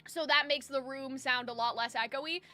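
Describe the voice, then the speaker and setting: A woman chatting casually as a livestream host, rambling and slangy in a giggly way, close to the microphone. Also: moderate pace. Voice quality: high-pitched when excited. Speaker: live streamer, host. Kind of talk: casual livestream chat with viewers. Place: soundproofed room at home. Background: faint music.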